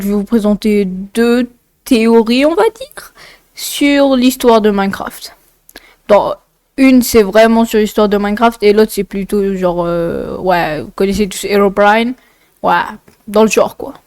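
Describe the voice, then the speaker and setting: Female video narrator, informal and digressive, clear tone but nasally congested, recorded close and dry. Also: mid-range voice, a little slow, darkish tone, no room sound. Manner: informal, rambling, often digressing. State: congested, blocked nose. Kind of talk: casual explainer narration with asides.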